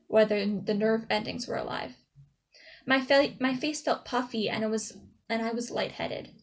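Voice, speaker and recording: A woman performing a dramatic theater monologue as a traumatized young woman, with halting, emotional delivery and dramatic pauses. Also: fairly high pitch, natural voice. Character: traumatized young woman recounting a childhood dog attack.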